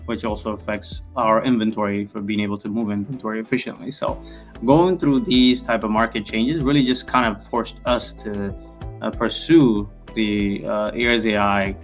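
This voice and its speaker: A man's voice: fairly low pitch, fairly even intonation, moderate pace, soft background music. A man from Ethiopia, a guest on a podcast, giving loose casual answers full of fillers and restarts. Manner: rambling, many fillers and restarts. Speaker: podcast guest, real estate founder.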